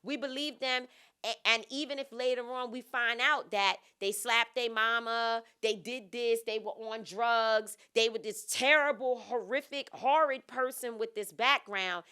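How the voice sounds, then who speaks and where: A woman's voice: fairly high pitch, a little slow, bright, forceful tone, smooth. A woman co-hosting a discussion show, making her points emphatically and repeating phrases for stress. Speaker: podcast co-host. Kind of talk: impassioned discussion.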